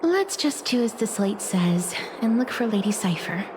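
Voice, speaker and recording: A woman voicing a gentle, melancholy young woman in a video game, with soft, breathy, solemn and formal delivery. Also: medium pitch, very animated, close to an everyday voice, low-quality recording. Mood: intent, explaining. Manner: measured, solemn, formal phrasing. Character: gentle, melancholy young woman resigned to fate, touched by death. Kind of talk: English video game voice acting, dramatic monologue and dialogue. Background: game music.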